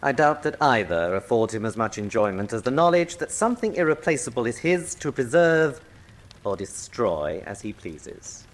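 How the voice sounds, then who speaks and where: An actor playing an urbane, sardonic villainous colonel in a radio drama, suave, theatrical and mocking in manner. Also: male voice, mid-range voice, big pitch swings, moderate pace, lightly acted. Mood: suave, cool.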